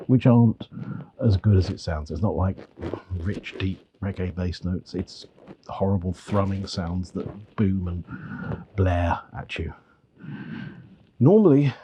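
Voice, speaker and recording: Middle-aged male reviewer, throat wrecked by a cold, deep gravelly rasp, muffled dark tone, rambling delivery. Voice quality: gravelly, bass-heavy voice. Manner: rambling, with frequent restarts and repeated words. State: hoarse from a heavy cold. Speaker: magazine editor reviewing audio gear. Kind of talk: product review talk to camera.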